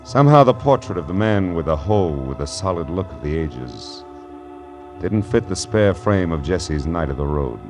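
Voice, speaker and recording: A very low-pitched, world-weary private eye in a vintage radio crime play. He is wry and assured, with clipped hard-boiled phrasing and an expressive, moving melody, over music at times. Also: normal speed. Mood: weary, reflective. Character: wry, world-weary male private detective, patient and kindly. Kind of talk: 1940s hard-boiled radio drama acting. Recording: vintage 1940s radio broadcast.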